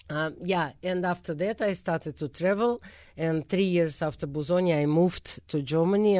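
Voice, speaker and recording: A woman's voice: sits low, dark tone, no echo, studio quality. A woman from Russia with a Russian accent, a concert pianist answering interview questions in earnest, plainly phrased English that is not her first language. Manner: earnest, plain phrasing with non-native grammar.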